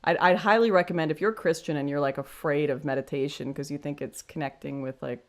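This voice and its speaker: Woman, 20s, deep voice, candid and self-deprecating, casual livestream host. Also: steady tone, quick pace. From Canada. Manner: chatty, frank, self-deprecating, thinks aloud with restarts. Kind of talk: casual livestream chat reading super chats.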